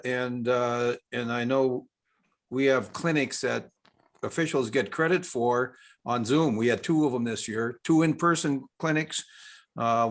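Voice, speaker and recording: An American man raising a question in an informal meeting, talking in a rambling, folksy way. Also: medium pitch. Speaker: regional secretary and officials coordinator.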